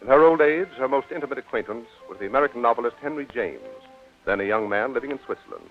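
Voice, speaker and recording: A male radio announcer reads a scripted 1940s introduction at a quick pace. His voice comes through a narrow, tinny old recording, with faint music behind. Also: medium pitch, expressive.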